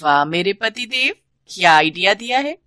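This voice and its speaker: A woman's voice: mid-range voice, lively intonation, moderate pace, bright, forceful tone, no room sound, clean studio sound. A woman voicing a cheeky, enterprising village wife who sells tea, with a theatrical way of talking, in a bedtime story. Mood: playful. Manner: sassy, exclaiming, theatrical. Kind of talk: acted dialogue in a bedtime story.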